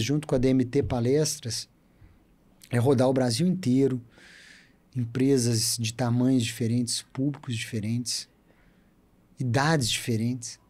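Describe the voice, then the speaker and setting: A man in his thirties with a Minas Gerais accent, a podcast guest telling his story in an animated, talkative way. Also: mid-range voice. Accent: Minas Gerais Portuguese. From Brazil. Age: 30s. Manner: chatty, animated storyteller who loves to talk. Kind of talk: casual storytelling interview.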